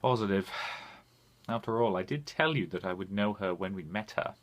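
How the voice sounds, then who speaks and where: A man's voice: fairly low pitch, expressive, moderate pace, voice pushed down, close to an everyday voice. A man playing a knowing spirit companion, formal and dryly teasing, in an audio story. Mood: calm, knowing. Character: sentient soul companion, dry, formal, knowing male spirit.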